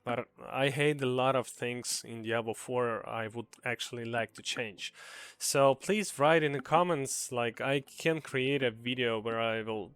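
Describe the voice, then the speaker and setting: A man's voice: mid-range voice, unhurried, fairly bright tone, clean tone. A young man with a Ukrainian accent giving a casual, earnest gaming commentary, hesitant with many fillers and restarts. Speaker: gaming video creator.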